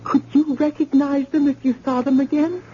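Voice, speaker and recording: A woman's voice: high-pitched, little pitch movement, moderate pace, close to an everyday voice. An actress playing a frightened wife who pleads with her wounded husband, in an old radio drama. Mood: pleading. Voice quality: pleading and emotional. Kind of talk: vintage radio drama acting. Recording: dull lo-fi old radio recording with faint hiss.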